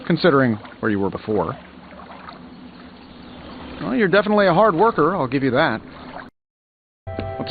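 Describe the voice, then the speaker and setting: Cocky wisecracking genius superhero, game dialogue, slightly high voice, muffled with music behind. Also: male voice. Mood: breezy, teasing. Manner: sarcastic, glib, wry. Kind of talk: video game dialogue, quippy banter.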